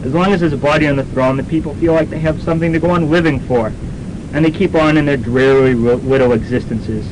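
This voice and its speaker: A young man plays a dry, smug businessman in a radio comedy. The voice is a bit high and mockingly polite. The old student radio recording has loud rumbling noise. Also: quick pace, natural voice. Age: young adult. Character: dry, smug American businessman, mock-deferential. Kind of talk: campus radio comedy drama acting.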